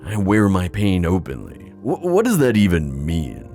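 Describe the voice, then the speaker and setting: A man playing a wistful, theatrical sea god in an ASMR fantasy roleplay, musing with hesitant pauses and drawn-out phrasing. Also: deep voice, wildly swooping pitch, vocal fry, warm tone, soft background music, heavily acted. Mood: thoughtful, skeptical.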